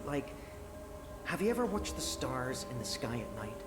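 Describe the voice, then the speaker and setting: A woman's voice: a bass voice, very animated. An actress voicing a nervous young female lead engineer in a video game, stammering and rambling as she explains. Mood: explaining. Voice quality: stammering, flustered, fast rambling. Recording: game audio with echo and music.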